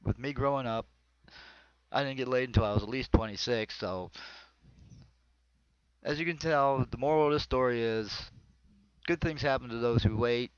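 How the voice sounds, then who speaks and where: A man's voice: medium pitch, normal speed, pauses often, clear, resonant tone, no room sound. An American man performs an autobiographical comedy monologue in a rambling, conversational storytelling manner. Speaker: amateur comedian. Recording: dull, lo-fi close dry recording.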